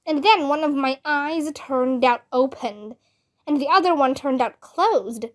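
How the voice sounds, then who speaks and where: A woman plays a small, feisty kindergarten girl from a storybook, high in pitch. The child is chatty and literal-minded, over-explaining everything in a slow, childish way.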